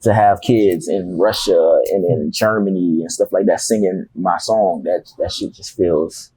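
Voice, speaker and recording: A man's voice: low-pitched, unhurried, warm tone, husky, dry recording, rough recording. A young man, a rapper telling stories in a casual interview, laid-back and slangy. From Miami, Florida. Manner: laid-back, slangy, loose storytelling with profanity. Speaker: rapper guest.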